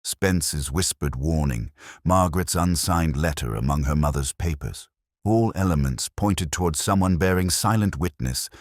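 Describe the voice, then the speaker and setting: A man narrating a first-person detective story in a measured, solemn, literary manner. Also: sits low, no room sound, clean studio sound.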